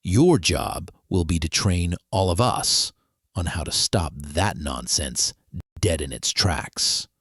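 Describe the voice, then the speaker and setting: A deep-voiced official played by a man in a mystery audio drama, issuing formal orders with grave authority. His delivery is slow and deliberate, with pitch that moves freely. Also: animated, fairly bright tone, breathy edge, no room sound, clean studio sound, full character voice. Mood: stern, formal.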